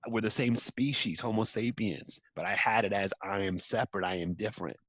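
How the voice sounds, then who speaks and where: A man's voice: fairly low pitch, fairly quick, fairly bright tone, slightly rough, no room sound, dull audio. A man speaking earnestly as an interview guest, building to emphatic repeated points. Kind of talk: reflective interview talk.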